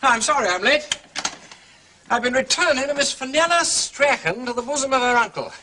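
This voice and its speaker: An urbane, playful gentleman detective with an upper-class English accent. His voice is very high and bright and swoops around effusively. The recording has faint noise. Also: lively intonation, fairly quick, heavily acted. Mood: breezy, amused. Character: breezy, charming aristocratic English gentleman detective.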